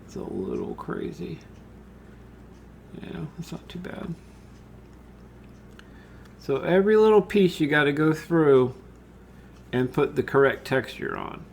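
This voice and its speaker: A man with a noticeably creaky, fry-edged voice and a medium pitch talks through an on-screen tutorial. He is casual and informal, thinking aloud while he works and addressing viewers like buddies. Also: a little hiss or hum. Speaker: tutorial host. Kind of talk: informal screen-share software tutorial, talking through steps while working.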